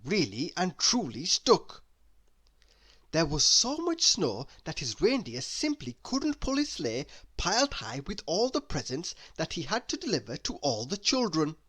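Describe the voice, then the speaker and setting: A man reading a children's story aloud in a deliberate, expressive way, leaning on key words. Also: a little high. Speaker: storybook reader.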